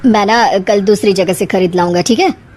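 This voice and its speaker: A woman's voice: mid-range voice, moderate pace, background noise, pitched below the performer's own voice, lightly acted. A woman voicing a placating, flustered young husband in a cartoon story, pleading and soothing. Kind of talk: animated moral story acting.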